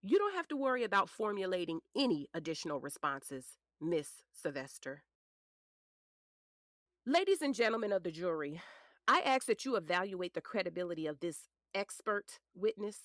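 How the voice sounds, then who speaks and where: A woman playing a sharp, confident defense lawyer in an audio drama, formal and pointed as she addresses a court. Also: on the low side, big pitch swings, normal speed, dry recording, clean studio sound. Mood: cool, formal.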